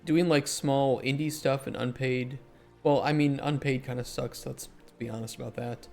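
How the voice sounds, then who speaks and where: A man who develops indie games, chatting casually on a livestream and thinking aloud as he answers chat, his voice hoarse after hours of streaming. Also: medium pitch, fairly even intonation, normal speed, darkish tone, faint music behind.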